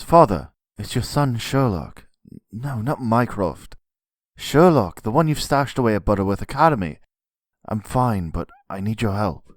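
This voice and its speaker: A man acts as a clever schoolboy sleuth in a drama. He talks briskly and earnestly, with a lively pitch and a dry recording. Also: no room sound, close to an everyday voice. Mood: insistent.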